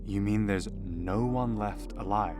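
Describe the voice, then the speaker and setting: A man's voice: fairly low pitch, measured pace, a little mellow, faint music behind, close to an everyday voice. A man playing an earnest, conscientious alien property buyer in an audio play, with a hesitant, deliberating delivery. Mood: shocked, appalled.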